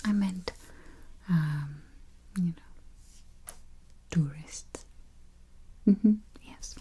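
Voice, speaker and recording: A woman doing a soft, breathy, mostly whispered ASMR roleplay as a politely morbid vampire travel agent, murmuring with dry understatement close to the microphone. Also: fairly low pitch. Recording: faint hiss, close keyboard typing and clicks. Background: typing, clicking and gentle tapping.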